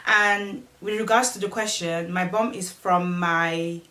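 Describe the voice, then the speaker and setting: A woman in her twenties with a Cameroonian English accent chats casually as she reads out viewer questions and answers each one. Her voice sits at a middle pitch. She is recorded from a distance, so the sound carries a roomy echo. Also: fairly even intonation, unhurried, bright, forceful tone. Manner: chatty, reads questions aloud and answers them. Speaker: vlogger. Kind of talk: casual Q&A vlog.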